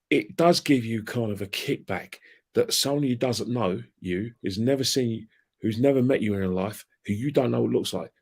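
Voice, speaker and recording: A man, ranting in a heated casual chat about football fandom and trading colourful insults. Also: medium pitch. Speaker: fan channel guest.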